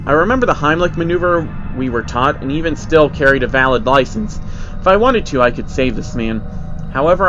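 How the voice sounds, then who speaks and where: A man's voice: mid-range voice, muffled recording. A man narrating a scripted first-person horror story with a dramatic storytelling delivery. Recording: music under the voice. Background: music.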